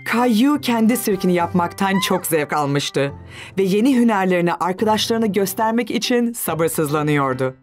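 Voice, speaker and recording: A woman's voice: medium pitch, expressive, moderate pace, close to an everyday voice. A woman reading scripted narration for a children's cartoon. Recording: music under the voice.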